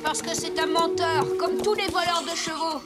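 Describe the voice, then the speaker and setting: A woman's voice: very high-pitched, steady tone, normal speed. A woman voicing a feisty, cocky young boy in a French cartoon dub, brash and defiant. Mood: indignant. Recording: music behind the voice.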